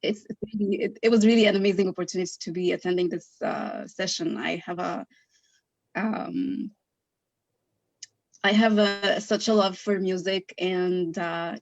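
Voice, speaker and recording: A woman's voice: on the low side, dry recording, muffled recording. A woman in the audience chatting on a video call, hesitant, with many fillers. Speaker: audience member. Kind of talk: casual chat on a video call.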